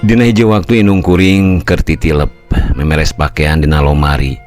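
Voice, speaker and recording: An older man, a storyteller, narrating a folktale in a calm, unhurried and formal way. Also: very deep voice. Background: faint music.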